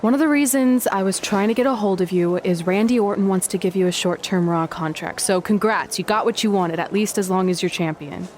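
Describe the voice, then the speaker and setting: A woman's voice: medium pitch, brisk, hardly any pauses, a little mellow, slight background noise, close to an everyday voice. An actress playing a blunt, unimpressed woman in a video game cutscene, dry and matter-of-fact.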